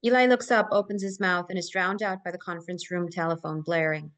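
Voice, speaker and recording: A woman's voice: lowish voice, steady tone, fairly quick, fairly bright tone. A woman narrating stage directions in a scripted live table read.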